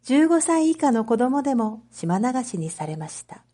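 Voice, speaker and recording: A woman reading a story aloud as a narrator, in a measured, clearly phrased storytelling manner for reading practice. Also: a little high, big pitch swings, dark tone. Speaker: narrator, Japanese reading teacher.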